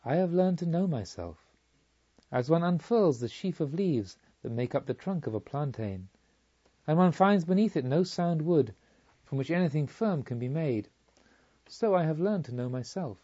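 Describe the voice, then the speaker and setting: A serene, detached enlightened woman of a storybook, read by a man in a mellow, relaxed voice. Each phrase is measured and solemn, and the recording is slightly muffled. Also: on the high side. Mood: serene detachment.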